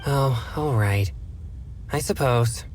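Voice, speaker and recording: A man's voice: mid-range voice, takes it slowly, a little mellow, slight background noise, natural voice. A man voicing an earnest, put-upon young exorcist in a game cutscene, grumbling and reluctant.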